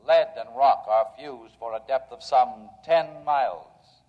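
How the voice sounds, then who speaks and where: An unyielding robot guardian from a 1950s radio play , acted by a man, addresses humans with calm formality. The voice rings in a long reverb, and the old recording is dull and narrow. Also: medium pitch, unhurried, close to an everyday voice. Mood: coolly defiant. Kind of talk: 1950s radio drama acting.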